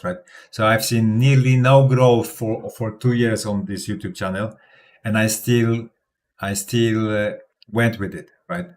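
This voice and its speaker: Host, male, low-ish voice, confident rambling crypto livestream chat. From Poland. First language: Polish. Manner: rambling, self-assured, laughs often, restarts words. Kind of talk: casual livestream commentary.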